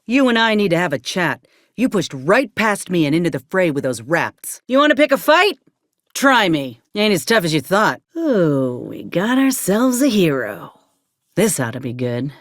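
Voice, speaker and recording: A tough, weary ex-army medic turned troubleshooter for a video game. Her voice sits on the low side and swings freely in pitch, with a drawl. She talks in a blunt, folksy, sardonic way, recorded close and dry. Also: big pitch swings, moderate pace, no echo. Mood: challenging, scornful. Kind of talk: video game character acting.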